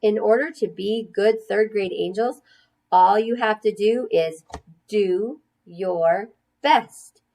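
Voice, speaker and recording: A woman reading a storybook as an upbeat, theatrical schoolteacher who addresses her class in a slow, drawn-out, emphatic way. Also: mid-range voice, dark tone. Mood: coaxing.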